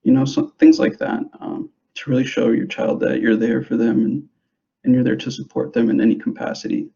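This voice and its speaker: A young man who grew up in the United States, giving an informal presentation to parents in a hesitant, halting way, on a dull, muffled recording. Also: medium pitch, steady tone, creaky, mellow. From grew up in the United States, born in El Salvador. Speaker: school substance abuse prevention specialist.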